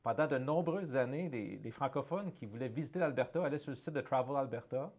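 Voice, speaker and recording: A middle-aged man with a Canadian French accent talks through an interview in an earnest, conversational way. He often repeats a word or restarts a phrase while looking for the right one, and his voice sits at an ordinary pitch for a man. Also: lively intonation, brisk, darkish tone. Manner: earnest, searching for words with frequent restarts. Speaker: guest, head of a francophone economic development organization.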